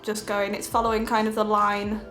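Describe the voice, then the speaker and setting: A woman's voice: mid-range voice, completely flat delivery, normal speed, fairly bright tone. A young woman with a cold, chatting through a makeup tutorial with lots of giggles and fillers. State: has a cold. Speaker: beauty vlogger. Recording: echoey room with light music.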